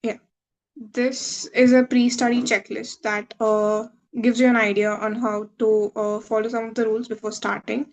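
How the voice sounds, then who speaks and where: A woman's voice: a little high, level tone, normal speed. A woman in her twenties from India, a medical student giving a webinar talk over a video call, explaining steadily with some filler uhs. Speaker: guest speaker, medical student. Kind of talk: webinar presentation reading slides.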